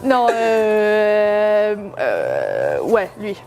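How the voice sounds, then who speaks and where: A woman's voice: medium pitch, wildly swooping pitch, drawn-out words, a little mellow, slight background noise. A woman in a couple quiz, bantering playfully, teasing her partner and laughing often. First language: French.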